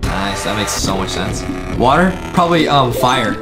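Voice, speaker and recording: A man hosting a reaction video, chatting casually and jokily in slangy, excitable bursts and often repeating his phrases as he plays a guessing game with a friend. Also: fairly low pitch, normal speed. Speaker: host of a reaction video channel. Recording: loud music bed behind the voice.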